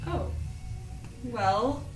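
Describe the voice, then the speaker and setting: A young woman playing an earnest, self-doubting young shop worker in an audio drama read-through, speaking in an informal, expressive way. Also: a little high, extremely slow, soft background music. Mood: awkward, friendly. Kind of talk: audio drama cast reading. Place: echoey room at a cast read-through. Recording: roomy, echoing, distant mic, background noise.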